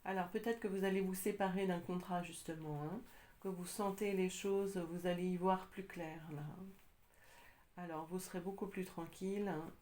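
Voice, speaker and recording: A woman with a slight cold doing a casual tarot reading, talking hesitantly with many pauses and fillers. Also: on the low side, steady tone, warm tone. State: has a cold, slightly congested. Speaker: tarot card reader.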